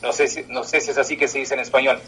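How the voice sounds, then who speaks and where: A man in his twenties whose first language is Portuguese, answering interview questions in halting speech with many pauses. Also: mid-range voice, level tone, a bit bright, a little hiss or hum, rough recording. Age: 20s. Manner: halting, with many filler sounds. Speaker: political candidate. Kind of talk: interview by phone.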